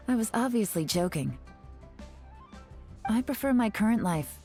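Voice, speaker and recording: A woman's voice: a little high, animated, unhurried, a little mellow, soft background music. A woman voicing a composed, calculating female spy in a video game, speaking in a wry, self-assured way. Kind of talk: game cutscene dialogue.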